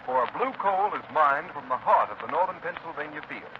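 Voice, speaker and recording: A man's voice: on the high side, expressive, fairly quick. A man announcing a 1930s radio commercial in a polished, persuasive, emphatic style. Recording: muffled, noisy 1930s radio recording.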